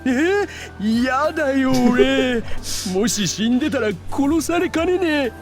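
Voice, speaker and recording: Jumpy anime game schoolboy, extremely high-pitched, swooping, slangy, music faintly behind. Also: male voice, expressive, moderate pace. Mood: alarmed. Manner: protesting, slangy. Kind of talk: Japanese anime visual novel acting.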